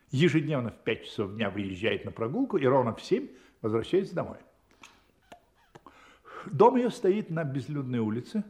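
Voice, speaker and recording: Guy acting clever self-assured detective in a radio play, amused and brisk, really expressive pitch. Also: lightly acted. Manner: brisk, amused, confident storytelling. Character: self-assured, wry English gentleman detective.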